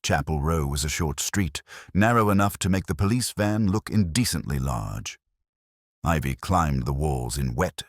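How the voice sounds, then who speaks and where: A man performs a weary older detective telling his story in an audio drama. The voice sits extremely low and is recorded very dry and close, with no room around it. The delivery is measured, brooding and literary, full of quiet melancholy. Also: dry recording, full character voice. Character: weary, melancholy older male Oxford police detective narrating. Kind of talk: literary first-person audio drama narration.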